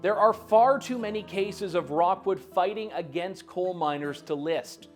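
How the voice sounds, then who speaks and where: A man's voice: high-pitched, a little slow, soft background music. A man narrating a documentary in a scripted, pointed, accusatory delivery.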